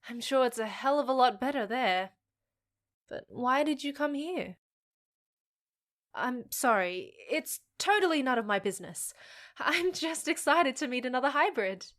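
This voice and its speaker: Woman acting as young half-fae woman, fairly high voice, frank, wry, teasing but supportive, fantasy audio drama. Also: expressive, a little slow, fairly bright tone. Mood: reassuring.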